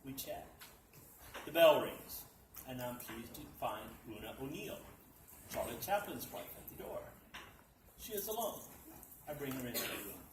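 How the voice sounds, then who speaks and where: A man reading a humorous story aloud at a library dinner event, in a dry, deadpan storytelling manner. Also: mid-range voice, unhurried, pauses often. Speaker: reader at a literacy event. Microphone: distant mic. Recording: echoey room, distant mic, background noise.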